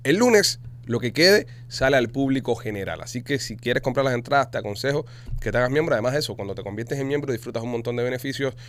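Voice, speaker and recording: A man with a Cuban Spanish accent hosting a podcast, chatting casually and reading sponsor ads in a quick, salesy way. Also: medium pitch, animated. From Cuba. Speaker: podcast host. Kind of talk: casual podcast talk with ad reads.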